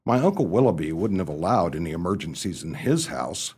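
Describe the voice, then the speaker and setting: A man playing a genial, dithering young upper-class gentleman in a radio play, who narrates and stammers when pressed. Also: low-pitched, very animated, brisk, breathy edge, exaggerated voice. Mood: wry, reflective. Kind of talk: radio play acting with first-person narration.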